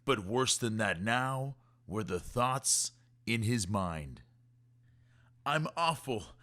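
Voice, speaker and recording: Expressive, unhurried man reading to kids. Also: on the low side. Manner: expressive, measured storytelling. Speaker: storyteller reading a children's book aloud. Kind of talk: rhyming scripted narration for kids.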